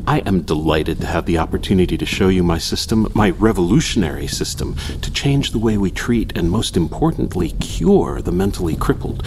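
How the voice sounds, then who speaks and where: A low-voiced, unctuous asylum doctor, in an old radio horror play. His delivery swings theatrically up and down with ingratiating, faintly sinister relish, over a noisy recording. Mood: smooth welcome. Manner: theatrical, ingratiating, with dramatic pauses and whispers.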